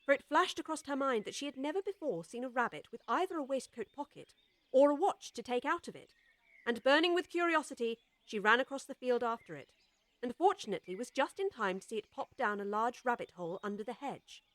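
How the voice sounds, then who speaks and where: A woman narrating a children's storybook audiobook with expressive, scripted storytelling. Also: sits high, fairly quick, no room sound.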